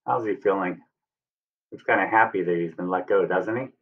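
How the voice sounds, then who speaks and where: A man's voice: low-pitched, moderate pace. An American man in his fifties reads a picture book to young children like a teacher. He explains things simply and puts questions to the listeners. The sound is a little distant and dull, as from a webcam. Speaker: teacher reading aloud. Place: room at home, indoors. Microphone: computer webcam at arm's length or more.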